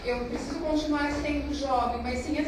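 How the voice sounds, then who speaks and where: A woman's voice: high-pitched, fairly even intonation, moderate pace. A woman in her late twenties in a group discussion, who thinks aloud with hesitant restarts. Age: 20s. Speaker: discussion participant. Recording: echoey room, distant mic, noisy.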